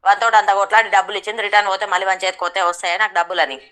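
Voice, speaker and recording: A woman's voice: medium pitch, flat delivery, very fast, pushed and bright. A woman with a Telangana accent in a casual phone conversation, talking bluntly and argumentatively. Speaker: friend on the receiving end of a prank call.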